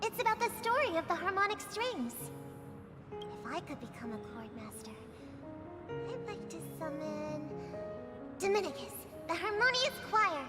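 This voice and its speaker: A woman's voice: extremely high pitch, sing-song, measured pace, long pauses, exaggerated voice. A woman voicing a sweet, hopeful little girl in a video game, with an innocent, eager, childlike manner. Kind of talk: scripted video game drama. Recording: loud music behind the voice. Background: music.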